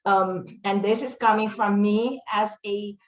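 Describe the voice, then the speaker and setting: A woman in her fifties with Papiamento as her first language, speaking earnestly on a webinar panel with frequent pauses. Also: medium pitch, steady tone, echoey room, low-quality recording. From Dutch Caribbean. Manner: earnest, fluent, many "um" pauses. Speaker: webinar panelist, teacher trainer.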